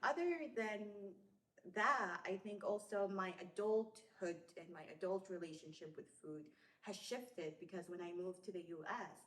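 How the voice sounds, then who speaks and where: A woman in her twenties from Somalia, raised in Saudi Arabia, telling stories as a podcast guest in a relaxed way with many fillers. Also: medium pitch, moderate pace. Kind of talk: casual interview chat. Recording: roomy echoey room.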